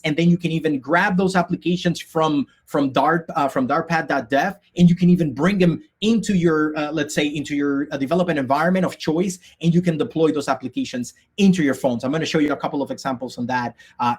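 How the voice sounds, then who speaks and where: A man's voice: on the high side, husky. Upbeat man from the Dominican Republic. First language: Spanish. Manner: upbeat, many fillers and restarts. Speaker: workshop instructor. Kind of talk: live online coding workshop. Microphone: video call.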